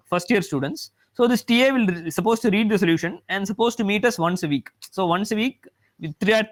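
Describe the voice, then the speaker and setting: High-voiced man, rambling lecture. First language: Tamil. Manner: rambling, filled with "you know" and restarts. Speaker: mathematics professor giving a talk. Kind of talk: lecture-style talk.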